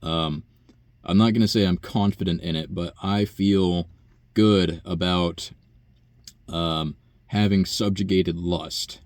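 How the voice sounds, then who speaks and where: A young man with a very low, buzzy voice talks in a loose, profane ramble, trailing off into fillers and sighs. The sound is close and dry, with no room around it. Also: fairly even intonation, slow, darkish tone, no echo. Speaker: vlogger. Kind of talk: rambling personal monologue.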